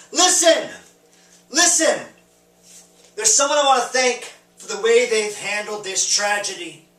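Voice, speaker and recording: A man playing a flamboyant, bitter East German drag rock diva in a grandiose, campy stage monologue with dramatic pauses. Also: very high voice, pushed and bright, full character voice. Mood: rousing, grateful. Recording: roomy echo, distant microphone.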